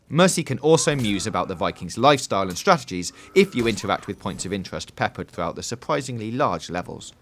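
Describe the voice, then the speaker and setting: A man's voice: lowish voice, a bit bright. A man reading a scripted video game preview voice-over in a polished, emphatic presenter style.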